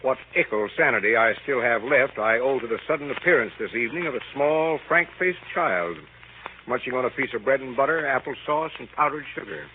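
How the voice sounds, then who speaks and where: Man acting a weary middle-aged gentleman, dry, exasperated, medium pitch, noisy old radio comedy. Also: a little fast, lightly acted. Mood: weary, wry. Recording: tinny, noisy 1930s radio broadcast.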